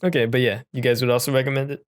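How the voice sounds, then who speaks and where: A man's voice: medium pitch, flat delivery, racing. Casual male podcast host. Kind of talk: casual film discussion chat.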